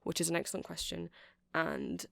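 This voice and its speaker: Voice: medium pitch, huge pitch swings, moderate pace, vocal fry, fairly bright tone, slight background noise, exaggerated voice. A performer voicing a chatty, nerdy male vampire shopkeeper in an ASMR roleplay, rambling hesitantly and apologetically as they explain things. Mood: helpful, unsure. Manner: hesitant, rambling, self-correcting. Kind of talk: ASMR roleplay, casual rambling sales chat.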